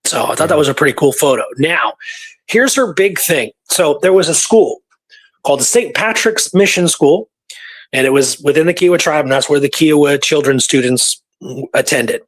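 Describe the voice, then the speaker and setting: A man with a somewhat high voice shares history in a loose, conversational way. He explains things as a podcast presenter would, informally, leaning on repeated words for emphasis. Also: animated, normal speed, rough recording. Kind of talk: casual history storytelling.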